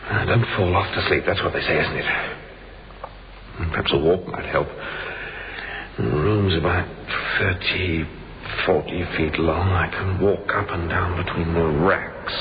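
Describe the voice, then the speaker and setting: Trapped British man, deep voice, fussy halting monologue, grumbling, radio drama, dull noisy recording. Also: fairly even intonation, a crowd in the background. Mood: anxious. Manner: muttering to himself, halting, fussy. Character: grumbling man trapped alone in a cold store.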